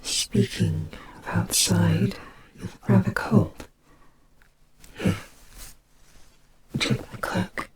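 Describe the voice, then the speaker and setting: A woman voicing a gentle, soothing goddess of death in an audio roleplay, speaking softly and breathily with hesitant, reassuring care for a child. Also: lowish voice, steady tone, drawn-out words, long pauses. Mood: tender, protective. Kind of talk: slow dramatic audio roleplay. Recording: clearly noisy amateur home recording. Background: ambience sound effects.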